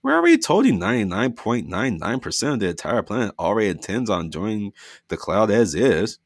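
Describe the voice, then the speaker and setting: A pushy virtual son, played by a man, insistent and touchy. His voice swings widely in pitch. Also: huge pitch swings, fairly quick, gravelly, no echo, studio quality, full character voice.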